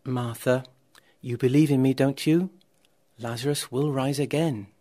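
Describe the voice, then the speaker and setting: A man voicing a calm, commanding holy teacher in a told Bible story, speaking with assurance. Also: medium pitch, a little slow, lightly acted. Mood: calm, assured.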